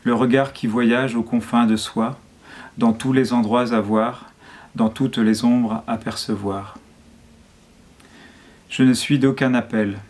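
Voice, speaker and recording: A man reads poetry aloud at a medium pitch. His delivery is solemn and measured, set out in short phrases, with very little rise or fall in the melody. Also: fairly even intonation, darkish tone. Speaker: poet reading a poem. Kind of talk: poetry reading.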